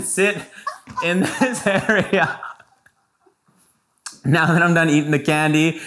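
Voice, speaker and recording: A man's voice: a little high, pushed and bright. Playful young male house tour host. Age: young adult. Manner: enthusiastic, playful, informal. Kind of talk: casual guided property tour commentary. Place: indoors, rooms of a large house. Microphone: lapel mic.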